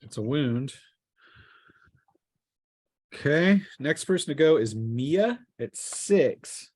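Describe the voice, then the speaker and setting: Halting man running a tabletop game. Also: on the high side, animated, darkish tone, slightly rough. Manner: halting, restarting descriptions mid-sentence. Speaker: game master. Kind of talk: improvised tabletop roleplaying narration.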